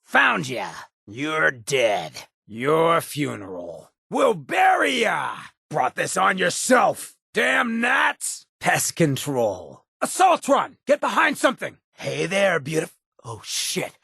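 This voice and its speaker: An actor voicing a sardonic, streetwise ghoul town boss for a video game, with a gravelly rasp and a sly, slangy delivery. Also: male voice, fairly high pitch, wildly swooping pitch, takes it slowly, sharp tone, no echo, clean studio sound, full character voice. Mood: combat taunting. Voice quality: gravelly, raspy. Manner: sly, laid-back, slangy. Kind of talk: video game companion voice lines.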